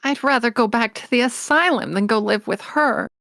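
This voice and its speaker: An eager, impassioned girl of eleven, acted out by a woman for a storybook reading. The voice is high and breathless, with a pleading manner and very little room around it. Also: moderate pace, creaky, fairly bright tone, no echo, clean studio sound. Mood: passionate indignation.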